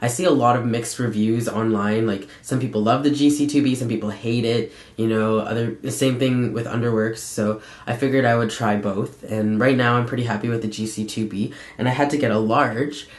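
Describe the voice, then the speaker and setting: A young man giving a casual, candid personal update in a conversational, halting way with frequent pauses. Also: mid-range voice, steady tone. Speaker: vlogger. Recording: echoey room sound.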